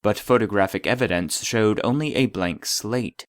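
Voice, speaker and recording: A man voicing an impersonal database, reading formal classified reports in a measured, clinical manner. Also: on the low side, no room sound, studio quality, natural voice. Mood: clinical, detached. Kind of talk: scripted narration of a formal report.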